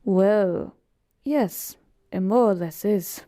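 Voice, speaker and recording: A woman playing a polite, weary genie bound to serve, speaking in a soft, measured, wistful way in an intimate audio roleplay. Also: mid-range voice, no room sound, studio quality. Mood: gently rueful. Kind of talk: slow, intimate roleplay monologue to a listener.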